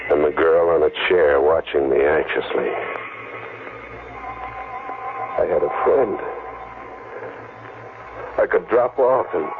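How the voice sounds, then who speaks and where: An actor playing a desperate, exhausted man on the run in a 1940s radio thriller, narrating in clipped hard-boiled fragments and stammering. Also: sits low. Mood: weary, tense. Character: desperate, exhausted hunted man telling his story. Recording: dull, very noisy vintage radio recording.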